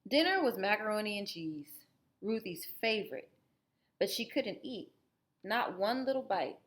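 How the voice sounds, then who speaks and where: Animated woman reading a storybook aloud. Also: mid-range voice, unhurried. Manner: animated, explanatory, guiding listeners through the story. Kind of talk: expressive storybook read-aloud with asides to children.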